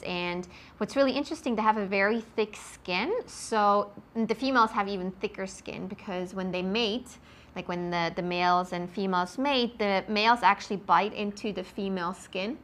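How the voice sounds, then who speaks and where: A woman with a Swiss accent answers interview questions at a middling pitch. Her voice is bright and clear, and she explains things eagerly, filling pauses with ums. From Switzerland. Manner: enthusiastic, explanatory, many ums. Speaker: marine biologist guest.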